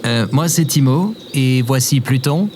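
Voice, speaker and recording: A man's voice: medium pitch, measured pace, darkish tone, slight background noise, lightly acted. Man as a wry adventurer amid birdsong. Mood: hesitant, polite. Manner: casual, hesitant. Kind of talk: audio fiction comedy acting. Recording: outdoor ambience, birds and insects.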